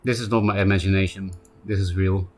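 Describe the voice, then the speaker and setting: A man's voice: deep voice, fairly even intonation. A man with a Polish accent streaming a card game live, chatting casually and answering viewers by name. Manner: chatty, answers chat by name, quick asides. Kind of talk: casual live-stream chat while playing.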